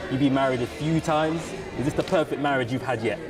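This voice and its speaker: A man's voice: medium pitch, fast, music in the background, crowd noise. A male TV news reporter asking interview questions in a chatty, teasing way.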